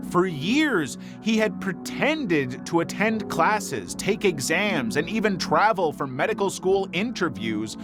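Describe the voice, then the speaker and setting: A man reading scripted true crime documentary narration in a measured, serious storytelling manner. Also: a little high. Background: music behind the voice.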